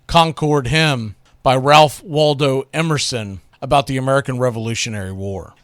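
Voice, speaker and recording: A man's voice: a little high, creaky, fairly bright tone, dry recording, clean studio sound. A man hosting a radio show, talking casually and enthusiastically about classic baseball calls like a storyteller.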